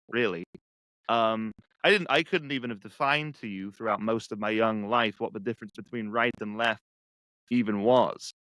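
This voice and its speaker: A man from England with an English accent, a guest speaking reflectively and haltingly with many restarts. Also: fairly low pitch, fairly bright tone, no room sound, poor audio. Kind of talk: casual conversation.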